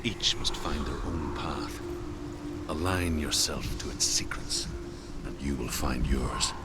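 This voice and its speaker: Game villain-guide: rock-bottom pitch, ominous, grave, measured; heavy noise around the voice. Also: male voice. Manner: solemn, slow, portentous. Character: dark, ominous male spirit guide narrating a cursed underworld journey. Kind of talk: scripted dramatic game narration.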